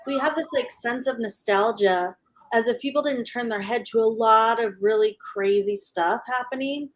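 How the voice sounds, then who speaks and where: A woman in her thirties speaking as a guest in a casual panel discussion, halting and rephrasing as she goes. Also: a little high, low-quality recording.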